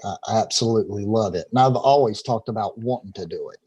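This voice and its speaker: Man, middle-aged, mellow dark voice, rambling, repeats words, video call audio. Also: on the low side, fast talker, breathy edge, highs cut off. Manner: talkative, stumbles and repeats words, laughs easily. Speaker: interview guest, filmmaker. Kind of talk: casual video call interview. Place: home room.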